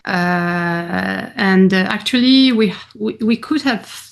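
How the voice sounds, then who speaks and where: A woman from France with a French accent, a guest chatting in an interview, warm and chatty with many fillers. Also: mid-range voice, takes it slowly, creaky. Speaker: guest, painter and advocate. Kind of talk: casual interview conversation.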